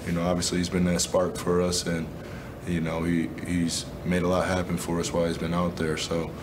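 A man in his twenties, a basketball player, answering questions at a postgame press conference in a low-key, measured way, with lots of "you know" and "um". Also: completely flat delivery, background noise. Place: press room.

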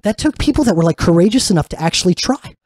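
A man's voice: high voice, expressive, fast talker, darkish tone, no echo, studio quality. Earnest man giving an informal inspirational talk. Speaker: religious teacher and fellowship leader.

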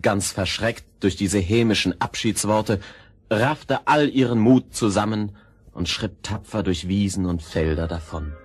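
A male storyteller narrates a fairy tale in a lowish, husky voice. He takes his time, measured yet expressive in every phrase.

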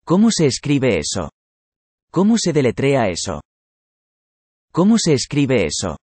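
A man reading a vocabulary list in a measured way, saying each word twice for learners. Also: medium pitch, wildly swooping pitch, a little mellow, slightly rough, no echo. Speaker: Spanish vocabulary reader.

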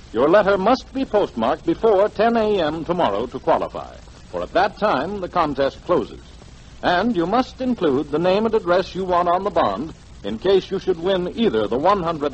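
High-pitched 1940s radio announcer man. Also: sing-song, moderate pace, slightly rough. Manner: formal, emphatic. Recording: dull, lo-fi 1940s radio broadcast.

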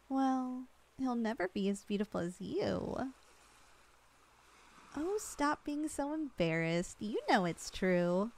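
Soft-spoken young woman in ASMR roleplay. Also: on the high side. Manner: soft, affectionate, teasing. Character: loving long-distance girlfriend, young woman, teasing and tender.

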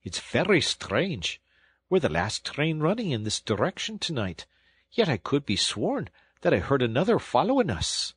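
A man voicing a stern but kindly train engine driver who speaks in a firm, plain way, in a husky voice. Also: mid-range voice, normal speed, no echo, voice pushed up, full character voice. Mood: puzzled. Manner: steady, firm and plain-spoken. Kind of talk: audiobook storytelling.

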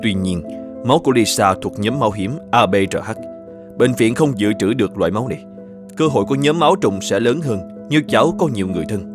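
A man voicing a serious, considerate hospital doctor in a cartoon, speaking in a grave, measured, formal way. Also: lowish voice, expressive, over music, lightly acted. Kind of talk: animated children's story dubbing.

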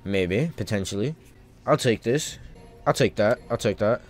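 A man streaming a video game with casual live commentary, rambling and thinking out loud in loose slang. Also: fairly low pitch, lively intonation, measured pace, faint music behind.

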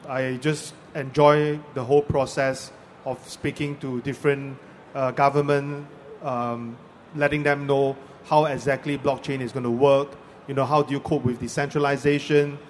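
A man speaking on a conference panel into a handheld mic, even and matter-of-fact. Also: medium pitch, a little hiss or hum. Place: large expo hall stage.

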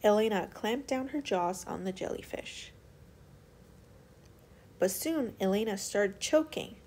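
A woman's voice: mid-range voice, expressive, a little slow, darkish tone, a little hiss or hum. A woman storyteller reading a children's picture book aloud as scripted narration.

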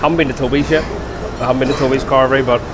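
A man's voice: medium pitch, fairly even intonation, very fast, noisy background. A man in his forties with a Northern Irish accent, casually reviewing drinks and food for his travel vlog on an outdoor terrace. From Northern Ireland. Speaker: travel vlogger. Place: open-air bar and restaurant terrace.

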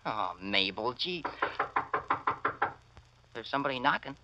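An actor with an American accent playing a cocky, slangy teenage son in a 1940s radio play. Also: male voice, mid-range voice, expressive, a little slow, highs cut off, heavy background noise. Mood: pleading. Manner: brash, slangy.

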